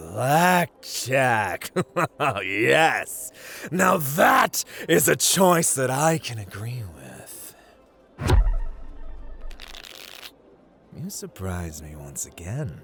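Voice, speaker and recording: A man's voice: a little hiss or hum, full character voice. A man playing a playful, haughty god of luck in an ASMR roleplay, theatrical and teasing, drawling his words as he addresses the listener. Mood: delighted. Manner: theatrical, teasing, drawling, swinging between hushed and booming.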